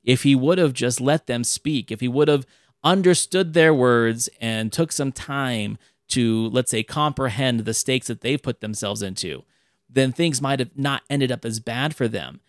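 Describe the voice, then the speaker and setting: Give me an animated male podcast host chatting about movies, recorded dry and close. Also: medium pitch, a little slow, no echo. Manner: animated, acts out quotes in a raised voice. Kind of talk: casual film discussion.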